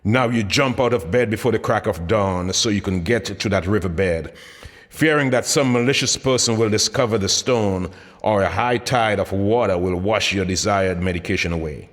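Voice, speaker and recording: A man's voice: fairly low pitch, moderate pace. A man reading his own motivational book aloud as scripted narration, with dramatic, emphatic delivery. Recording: long hall-like reverb.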